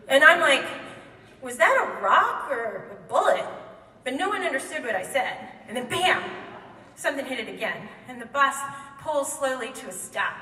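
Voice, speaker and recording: A woman telling a comic true story live on stage, wry and quick to laugh, recorded in a hall by a microphone set back from her. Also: high-pitched, bright, forceful tone. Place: theater stage before an audience. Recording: echoey hall, mic from far off.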